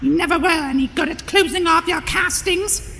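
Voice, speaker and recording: A woman playing a haughty, sharp-tongued old desert witch in an audio drama, with a cracked elderly voice and theatrical scolding delivery. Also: very high voice, normal speed, soft background music, full character voice. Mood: scornful. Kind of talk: fan audio drama acting. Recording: long hall reverb, clear background noise.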